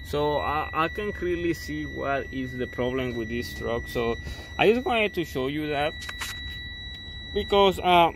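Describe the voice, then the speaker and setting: A man's voice: on the high side, lively intonation, measured pace, background noise. A man, a mechanic, casually walking through a truck's fault codes and battery check, pointing things out step by step, with frequent fillers and restarts in his English. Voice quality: non-native English with filler-heavy restarts. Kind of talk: casual how-to walkthrough. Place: truck cab and battery compartment.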